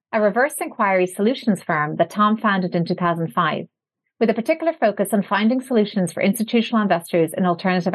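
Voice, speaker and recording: A woman hosting an interview podcast, reading a polished introduction before moving into her questions. Her delivery is clear and measured, with a mid-range voice. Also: medium pitch, rough recording. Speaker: podcast host.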